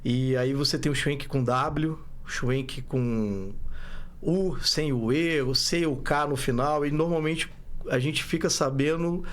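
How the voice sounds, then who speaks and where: A man's voice: on the high side, takes it slowly. A Brazilian man with a Minas Gerais accent, a startup founder talking casually as a podcast guest, rambling and often restarting his sentences. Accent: Brazilian Portuguese, Minas Gerais. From Brazil, born in Rio, raised in Belo Horizonte. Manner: rambling, self-correcting, frequent "né?".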